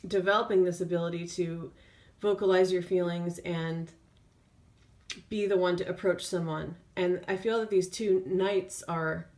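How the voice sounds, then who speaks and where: A woman tarot reader speaking off the cuff in an intuitive reading, feeling her way through phrases with drawn-out pauses. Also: lowish voice, fairly even intonation, a little mellow.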